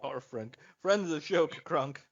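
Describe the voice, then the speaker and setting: A man's voice: on the high side, animated, moderate pace, dull audio. A man co-hosting a podcast in loose, joking, casual fan chat. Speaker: podcast co-host.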